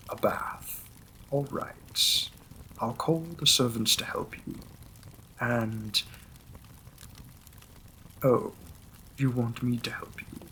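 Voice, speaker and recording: A man's voice: medium pitch, a little hiss or hum, low-quality recording. A man voicing a devoted demon king in a romantic fantasy roleplay, speaking intimately and formally with teasing, possessive affection. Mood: teasing, seductive. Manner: slow, intimate, formal wording, gently amused.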